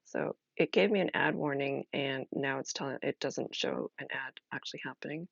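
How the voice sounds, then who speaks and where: A woman streamer chatting casually as she explains a solo role-playing game, halting and thinking aloud. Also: a bass voice, creaky, no echo, lo-fi sound.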